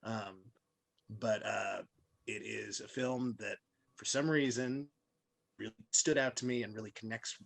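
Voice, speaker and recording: Man, raspy creaky voice, hesitant piecemeal phrasing, casual film podcast chat. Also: fairly low pitch, steady tone, measured pace, low-quality recording. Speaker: podcast panelist.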